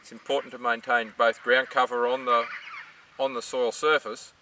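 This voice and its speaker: A middle-aged Australian man explains things to camera in a bright voice of middling pitch. He stands outdoors, away from the mic, with faint noise around him. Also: level tone, normal speed, recorded from a distance. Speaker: farm researcher. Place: outdoors in open dry farmland.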